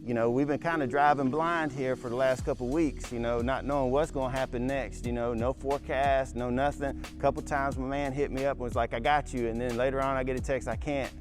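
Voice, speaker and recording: A man hosting a casual sports talk show, relaxed and conversational, plugging games and broadcasts. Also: mid-range voice, a little fast, few pauses. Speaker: podcast host. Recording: clear music in background.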